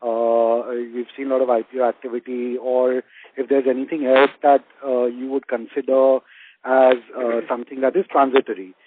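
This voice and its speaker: A man asking questions as an analyst on an earnings call, speaking in a hesitant, halting way. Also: mid-range voice, little pitch movement. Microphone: phone conference call. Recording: tinny narrowband phone line.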